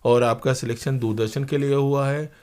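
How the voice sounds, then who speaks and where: An older man speaks as a guest in a conversation. His pitch sits in the middle range. He tells anecdotes in a digressive, conversational way, slipping into reported dialogue. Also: fairly even intonation, normal speed, darkish tone. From India. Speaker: interview guest, broadcaster and playwright.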